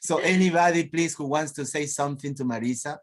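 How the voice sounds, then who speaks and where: A man from Peru with a Peruvian accent, hosting an online teachers' meeting, halting and repeating his words. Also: a little high. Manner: slow and halting, repeats words, laughs often. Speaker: host. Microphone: video call.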